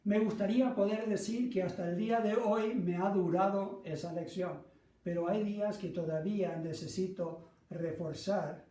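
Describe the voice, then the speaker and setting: Man, middle-aged, Latin American Spanish accent, mellow voice, earnest self-deprecating sermon, echoey room. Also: high voice. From Argentina, raised by North American parents. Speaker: pastor. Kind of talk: sermon with personal anecdote. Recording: roomy echo, distant microphone.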